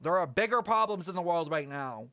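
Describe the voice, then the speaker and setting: A man's voice: on the high side, animated, a little fast, sharp tone, no room sound. A man streaming a game with casual live commentary, blunt, sweary and dramatic in a sassy way. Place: close, dry microphone on a live stream.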